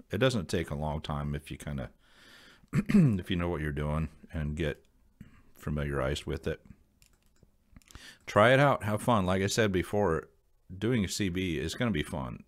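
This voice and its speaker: Very deep-voiced American man, casual and folksy. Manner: rambling, folksy, plain-spoken. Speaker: video creator sharing radio hobby advice. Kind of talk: casual unscripted explainer to viewers.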